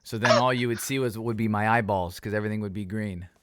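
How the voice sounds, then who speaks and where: Rambling, low-voiced enthusiastic radio ham. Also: male voice, steady tone, fast, a bit bright, a little hiss or hum. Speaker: ham radio operator who streams nets. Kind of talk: casual video call chat. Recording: video call.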